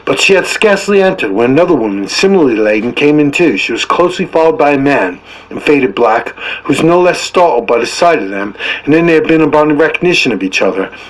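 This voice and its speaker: A man reading literary narration for an audiobook in a measured, dramatic storytelling manner. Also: fairly high pitch, expressive, low-quality recording.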